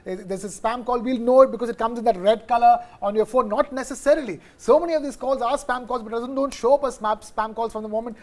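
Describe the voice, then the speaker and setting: A man with an Indian accent commenting off the cuff as a news panelist, with halting starts and repeats. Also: very high voice, a little mellow.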